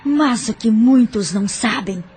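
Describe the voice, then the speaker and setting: A woman's voice: a little high, unhurried, a little mellow, slightly rough, soft background music. An actress playing a bitter, vengeful woman who threatens and taunts a former lover, in an audio drama. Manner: threatening, spiteful, scornful.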